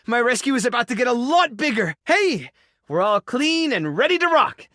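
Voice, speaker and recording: A male actor in a dating video game playing an earnest, tender, awkward keeper of an animal rescue, sincere and prone to halting rambles. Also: on the high side, big pitch swings, no room sound, clean studio sound. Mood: excited, flustered. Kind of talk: video game character voice acting, dating simulator.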